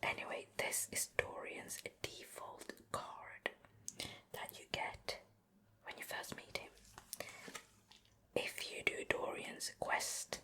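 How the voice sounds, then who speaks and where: Female ASMR host, breathy whisper, crisp bright edge, close mic, slow casual show and tell. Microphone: close ear-to-ear microphone.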